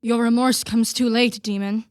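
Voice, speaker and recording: A woman's voice: on the high side, steady tone, moderate pace, smooth, no echo, clean studio sound, natural voice. A woman playing a grave, concerned male sea captain in a radio play, with formal, earnest delivery. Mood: stern. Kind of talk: theatrical radio play acting.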